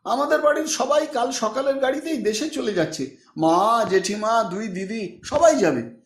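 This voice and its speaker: Man playing a high-pitched respectful schoolboy. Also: lively intonation, moderate pace. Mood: busy, apologetic. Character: hurried, respectful schoolboy pupil. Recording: echoey room.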